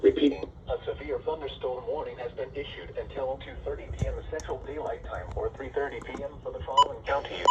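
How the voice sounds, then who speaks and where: A man's voice: mid-range voice, fast, few pauses. Muffled automated-sounding man on weather radio. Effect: weather radio broadcast. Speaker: weather radio warning announcer. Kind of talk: scripted emergency weather bulletin. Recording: muffled, distant, noisy weather radio capture.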